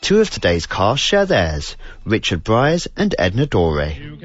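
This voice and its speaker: Very animated British male radio announcer. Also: fairly low pitch, unhurried. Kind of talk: scripted announcement. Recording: slightly dull, faint music behind. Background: faint music.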